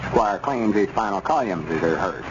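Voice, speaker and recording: Folksy, indignant old Southern shopkeeper, animated rustic drawl, noisy vintage radio serial. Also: male voice, low-pitched, normal speed. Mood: indignant, suspicious. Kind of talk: 1930s radio comedy serial acting. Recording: muffled, noisy 1930s radio recording.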